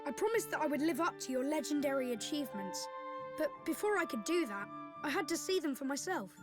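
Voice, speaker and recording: High-pitched earnest young boy prince. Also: lively intonation, brisk. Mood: earnest, calm. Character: gentle, wise young boy prince from the future. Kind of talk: video game cutscene acting. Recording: orchestral game music behind.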